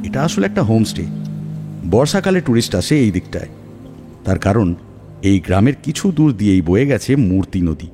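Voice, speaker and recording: A man's voice: on the low side, very animated, normal speed, pauses often. A man narrating a scripted horror story dramatically in the first person. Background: music, rain.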